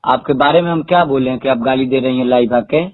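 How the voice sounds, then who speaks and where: A man's voice: medium pitch, fast talker. A man with a Bhojpuri accent on a recorded phone call, arguing in a confrontational, foul-mouthed way. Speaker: phone caller. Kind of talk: argumentative phone conversation.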